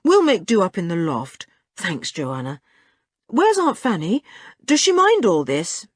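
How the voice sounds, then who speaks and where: A woman voicing a sensible older boy, calm, gentle and firm, in a children's story. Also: fairly low pitch, measured pace, husky, no room sound, clean studio sound, heavily acted. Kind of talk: children's audiobook character voice.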